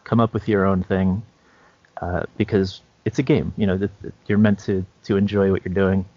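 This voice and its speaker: Low-voiced man explaining casually, dry sound. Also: little pitch movement, normal speed, mellow, dry recording. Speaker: podcast co-host. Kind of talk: casual podcast chat. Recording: dry, dull lo-fi recording.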